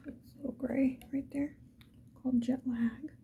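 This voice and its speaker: A woman speaking mostly in a breathy whisper, chatting casually to the viewer in an ASMR get-ready style. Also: sits high, flat delivery, normal speed, narrow, tinny audio. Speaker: ASMR video creator.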